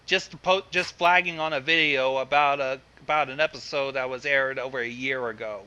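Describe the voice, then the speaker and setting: A man hosting an online fan fiction reading, chatting casually with swearing in an excitable, exasperated way. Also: medium pitch, fairly quick, clean tone, lo-fi sound. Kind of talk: casual, profane online chat.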